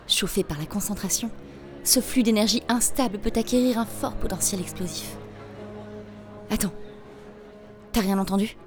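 A woman's voice: mid-range voice, normal speed, pauses often, over music, natural voice. A woman playing a young underground rebel in an audio drama, guiding a newcomer with urgent, instructing lines. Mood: intent, instructive. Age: young adult. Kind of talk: scripted audio fiction acting.

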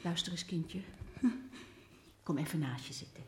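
A woman's voice: deep voice, a little mellow, roomy sound, a little hiss or hum. A woman playing a kindly, soothing female warder in a radio play. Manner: soothing, slow.

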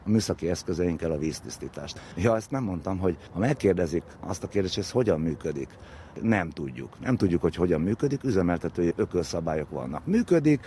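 A man's voice: sits low, animated, warm tone. A man speaking as an expert, explaining things informally with vivid comparisons. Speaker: expert interviewee. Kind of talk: spoken explanation, informal lecture-like. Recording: faint background noise and ambience.

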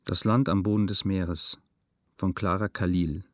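An actor playing a detached male narrator, reading a literary radio drama in a deadpan, meticulous, measured manner. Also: deep voice, no echo. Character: detached male first-person narrator of a melancholy story.

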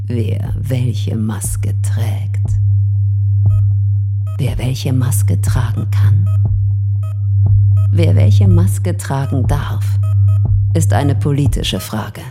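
A woman delivering a scripted performance monologue in a measured, deliberate, declarative way. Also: rumbling low, creaky, dark tone.

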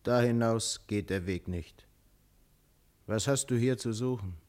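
A man playing a huge, menacing but roguish robber in a German radio play, taunting and swaggering with rough camaraderie. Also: mid-range voice, a little slow, a little mellow, close to an everyday voice. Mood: challenging, mocking. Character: huge, menacing male robber, roguishly jovial, armed with club.

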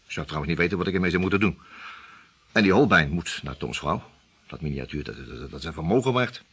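An actor playing a determined, level-headed detective in a radio play, reasoning things through earnestly. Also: male voice, low-pitched, expressive, fast talker, fairly bright tone, husky, exaggerated voice.

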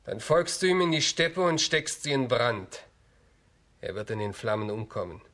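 An actor playing a cold, scheming grand duke in a children's radio play, deliberate and gloating. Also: male voice, medium pitch, sing-song, fairly bright tone, no echo. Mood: sinister, commanding.

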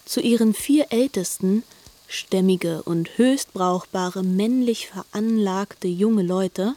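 Mellow female narrator. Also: medium pitch, no echo. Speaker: narrator of a radio drama. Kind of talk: literary scripted narration.

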